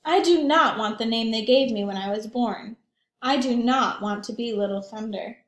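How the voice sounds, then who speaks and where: Woman reading as a high-voiced, spirited storybook boy. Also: moderate pace, natural voice. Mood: frustrated, determined. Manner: earnest, emphatic storybook narration. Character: spirited young boy longing for his own name. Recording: echoey room.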